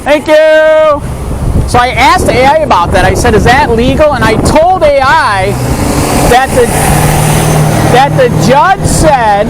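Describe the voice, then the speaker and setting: A man in casual street conversation, animated and questioning, quick to agree with the other person. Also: very high voice. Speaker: activist filming a street conversation. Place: outdoors on a street near traffic. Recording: loud street and traffic noise.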